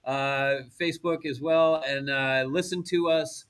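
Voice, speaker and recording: A man's voice: on the high side, steady tone, unhurried, a bit bright, clean tone. A man who hosts radio, chatting casually and joking as a guest on a show. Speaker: radio morning host appearing as guest.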